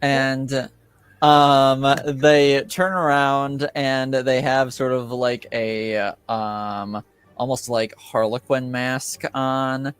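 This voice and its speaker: A man narrating a tabletop roleplaying game as game master, with a hesitant, halting delivery. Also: mid-range voice, steady tone, clear, resonant tone, no echo.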